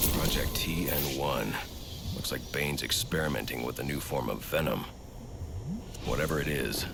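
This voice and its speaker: An actor playing a grim, driven young masked vigilante in a video game, speaking tersely and gravely in a growling voice. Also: male voice, rumbling low. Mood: analytical. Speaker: lead character, masked vigilante. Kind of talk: video game cutscene acting. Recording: loud vehicle and ambience noise behind.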